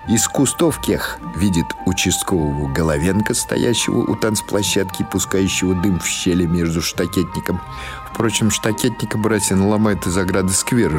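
A man reading literary fiction aloud as a scripted audiobook narration. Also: sits low.

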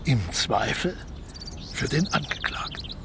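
An actor playing a cocky, smooth-talking con man twin in a radio crime comedy, persuasively laying out his plans. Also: mid-range voice, animated, measured pace, background noise. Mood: cunning, smug.

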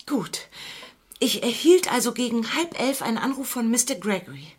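A troubled lady doctor in a radio whodunit. Her voice is fairly high with a breathy rasp. She confesses earnestly, leaning dramatically on her words, as one worn down by blackmail. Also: big pitch swings, moderate pace. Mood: anguished, confessional. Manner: earnest, dramatic, anguished emphasis. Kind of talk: radio crime drama acting.

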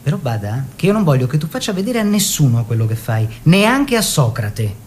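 An actor playing a devoted young painter husband, earnest and protective, in a measured theatrical delivery. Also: male voice, a little high, very animated, a little mellow, slight background noise. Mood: earnest, instructing. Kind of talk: theatrical monologue and letter reading.

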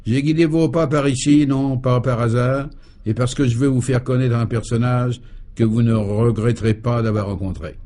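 A man's voice: medium pitch, steady tone, normal speed, dark tone, lightly acted. A man playing a wordy, persuasive, worldly guide. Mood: enthusiastic. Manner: emphatic, wordy, mock-formal. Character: talkative, worldly, persuasive male guide and friend.

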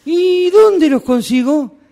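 A naive, earnest customer, voiced by a man. His voice sits high and swings widely in pitch. He asks each question slowly, as if puzzled. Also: expressive, vocal fry, warm tone, exaggerated voice. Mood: bewildered. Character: naive male customer seeking a new world. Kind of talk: amateur radio drama rehearsal.

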